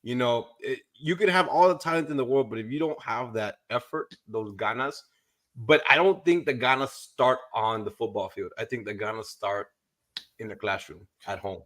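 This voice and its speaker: Joking Rio Grande Valley host, dry mic. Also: male voice, medium pitch, moderate pace, fairly bright tone, no echo. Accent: Texas Rio Grande Valley. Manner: loose and joking, swears, drops in Spanish words. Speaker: podcast host, realtor. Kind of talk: casual podcast conversation.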